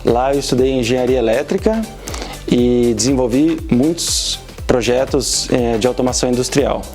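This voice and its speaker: A man from Brazil, a native Portuguese speaker with a Brazilian accent, answering interview questions carefully, with some pauses and restarts. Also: mid-range voice, a little mellow, faint music behind. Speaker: automation specialist interviewee.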